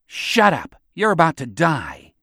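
A gruff, hot-tempered military chief, acted out by a male narrator. He speaks in curt, menacing barks at a slightly high pitch, recorded very dry, as in an audiobook. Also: huge pitch swings, a little slow, bright, forceful tone, dry recording, exaggerated voice. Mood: angry, threatening.

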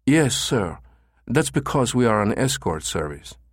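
A man's voice: mid-range voice, big pitch swings, unhurried, dry recording, clean studio sound, voice pushed up. A man voicing a careful, polite businessman in an audiobook story, speaking in a formal and guarded manner. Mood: guarded, cool. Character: careful, smooth Spanish escort agency manager, a man.

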